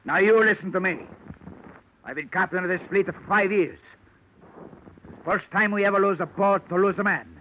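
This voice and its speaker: A man's voice: sits high, moderate pace, long pauses, natural voice. Muffled old radio recording, surly fleet captain acted by a man. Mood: indignant. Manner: blunt, terse, non-native sounding grammar. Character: surly, defensive male fishing fleet captain. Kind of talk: 1940s-50s radio drama acting. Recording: muffled vintage radio recording, faint noise.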